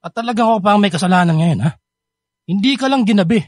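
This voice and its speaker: High-pitched, hot-tempered Filipino father. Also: expressive, fast talker, no room sound, clean studio sound. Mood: scolding. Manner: scolding, exasperated, domineering. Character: stern, hot-tempered, overworked father of a teenage son. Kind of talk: radio drama acting. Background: background music.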